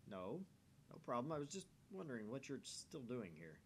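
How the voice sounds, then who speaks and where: A man's voice: mid-range voice, big pitch swings, moderate pace, a little hiss or hum. Man acting a pompous, mocking young scientist. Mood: mocking, grandiose. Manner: grandiose, mocking. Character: pompous, self-important young male mad-scientist type from visual novel.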